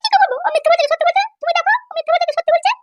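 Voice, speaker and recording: Piercingly high, quarrelsome cartoon wife. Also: fairly even intonation, very fast, noisy background. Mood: indignant. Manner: screechy, accusing. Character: shrill, quarrelsome, defiant young wife. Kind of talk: cartoon comedy dubbing.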